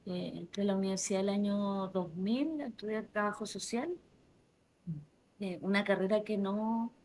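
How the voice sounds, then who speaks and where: Guest, woman in her 40s, Chilean accent, soft-spoken and thoughtful, halting delivery. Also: medium pitch, fairly even intonation. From southern Chile. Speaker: interview guest. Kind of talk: casual interview conversation.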